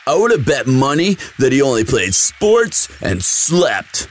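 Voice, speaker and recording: A man's voice: medium pitch, big pitch swings, measured pace, a little hiss or hum. A young man playing a sweet, dim, eager athlete boyfriend in a casual, intimate audio roleplay, with a slangy, drawling delivery. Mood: cheerful, chatty.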